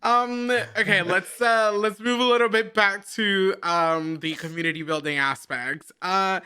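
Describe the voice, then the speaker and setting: A man's voice: high voice, fairly even intonation, unhurried, pushed and bright, clear, resonant tone. A man whose first language is Spanish, with a slight Spanish accent, co-hosting an interview and speaking earnestly. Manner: earnest, laughs easily. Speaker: co-host interviewer. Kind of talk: casual interview talk.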